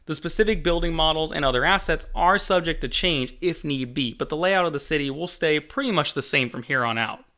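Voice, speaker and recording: A man with a somewhat high, sharp and forceful voice presents a development update. He reads as a video host, conversational but emphatic, with self-deprecating asides. The narration is scripted. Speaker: game developer and channel host.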